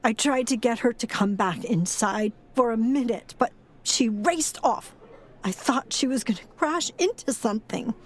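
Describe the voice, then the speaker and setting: An actress playing a sorrowful old grandmother with a halting, sighing delivery in an audio drama. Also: fairly high pitch, a little hiss or hum, lightly acted. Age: older. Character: frail, grieving, tough old grandmother and former foster mother.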